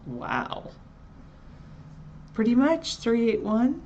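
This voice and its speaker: A woman co-hosting a casual live chat show, relaxed and chatty, answering viewers by their usernames. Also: on the high side, drawn-out words, muffled recording, slight background noise.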